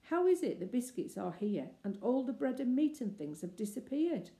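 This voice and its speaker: A woman's voice: fairly high pitch, very animated, normal speed, dark tone, voice pushed up. A woman voicing an eager, questioning schoolboy from a storybook, exclaiming plainly. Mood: curious. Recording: roomy, echoey indoor sound.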